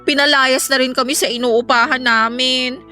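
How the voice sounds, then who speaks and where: A woman's voice: high voice, fairly even intonation, moderate pace, pushed and bright, faint music behind. A woman playing a humble, desperate young mother and mistress in a Filipino radio drama, pleading politely. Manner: polite, deferential.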